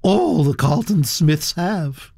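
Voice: mid-range voice, expressive, slow pace, gravelly, darkish tone, husky, dry recording, studio quality, pitched above the performer's own voice, heavily acted. A narrator voicing a blunt, gossipy elderly duchess in an audiobook, her voice lifted well above the narrator's own. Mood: commanding. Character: imperious elderly aristocratic duchess. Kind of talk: audiobook character voice.

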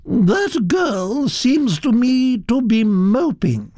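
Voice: mid-range voice, very animated, drawn-out words, warm tone, no echo, clean studio sound, voice pushed up, exaggerated voice. A narrator playing an imperious, opinionated elderly duchess with a blunt, sputtering, autocratic manner. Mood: bossy disapproval. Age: older.

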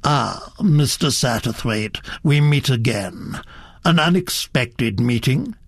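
A man voicing a tall, dark, enigmatic gentleman who speaks slowly, softly and with measured calm. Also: medium pitch, gravelly, no echo, studio quality, heavily acted. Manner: slow, soft and measured.

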